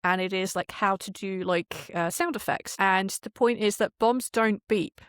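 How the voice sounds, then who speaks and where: A woman's voice: medium pitch, normal speed, a bit bright, clear, resonant tone, dry recording, studio quality. A woman talking casually as an interview guest, explaining how audio production works and often voicing imagined quotes as she goes.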